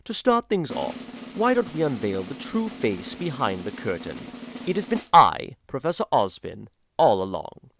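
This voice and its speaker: A man's voice: mid-range voice, sing-song, normal speed, background noise. A man narrating a fan audio drama with dry, theatrical asides. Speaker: narrator voicing a headmaster.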